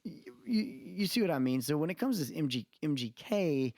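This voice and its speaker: A man's voice: fairly high pitch, very animated, normal speed, darkish tone, no echo, clean studio sound. A man co-hosting a podcast, talking casually and opinionatedly about music in a rambling, storytelling way. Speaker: podcast co-host.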